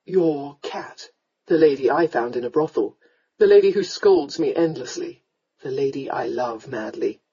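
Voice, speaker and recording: A woman's voice: deep voice, animated, a little slow, dark tone, husky, highs cut off, voice pushed down, exaggerated voice. A woman voicing a blunt, commanding, hot-tempered yet tender young aristocratic rake in a romance audiobook. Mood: soft, insistent.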